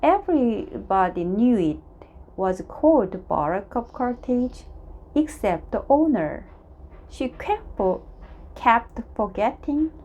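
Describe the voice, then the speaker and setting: A woman reading a children's story aloud as narrator, in a halting, deliberate way. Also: fairly high pitch, sing-song, mellow, lo-fi sound. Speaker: storybook reader.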